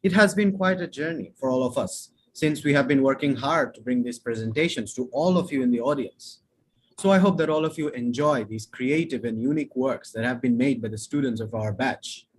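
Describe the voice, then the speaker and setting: A young man delivers an earnest, formal welcome speech on behalf of his fellow students. His voice has a husky, slightly breathy edge at an ordinary pitch. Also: brisk. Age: young adult. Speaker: student speaker.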